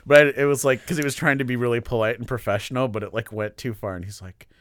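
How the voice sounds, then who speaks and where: A man's voice: medium pitch, fairly even intonation, fast, fairly bright tone, no room sound. Nasal man chatting casually, close and dry. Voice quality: nasal, stuffed-up. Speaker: podcast co-host discussing art. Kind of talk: casual chat.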